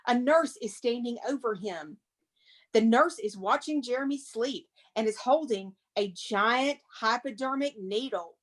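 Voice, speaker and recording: A woman narrating the stage directions in a dramatic screenplay reading, with casual asides. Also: on the high side.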